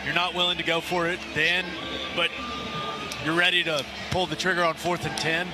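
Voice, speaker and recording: A man giving measured, technical analysis with coaching jargon on a live football radio broadcast. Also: fairly high pitch, level tone, over music.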